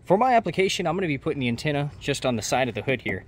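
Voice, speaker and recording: A man's voice: a little high, very animated, very fast. A young American man giving instructional how-to narration as host of a DIY car channel. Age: young adult. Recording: clear background noise, clicks and jangling.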